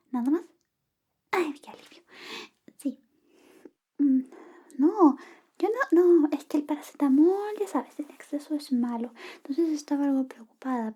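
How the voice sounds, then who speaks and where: A young woman playing a coy, jealous best friend in a soft, whispery ASMR personal attention roleplay. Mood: caring, soothing. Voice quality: soft, whispery, high-pitched. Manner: slow, coy, murmuring. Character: jealous female best friend secretly in love, teasing, caring.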